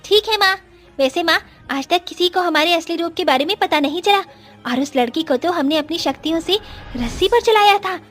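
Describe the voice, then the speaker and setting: Earnest young nomad ghost girl, played by a woman, piercingly high and bright, for story audio over faint music. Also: animated, moderate pace. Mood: chatty. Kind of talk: dramatised children's story. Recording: music under the voice.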